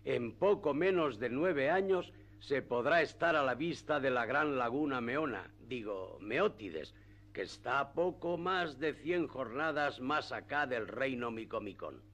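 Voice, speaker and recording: An actor playing a sly, learned village priest in a radio play, speaking with measured, rhetorical emphasis. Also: male voice, medium pitch, animated, a bit bright. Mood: explanatory. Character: sly, learned male village priest, feigning righteous indignation. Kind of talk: classic radio theatre acting.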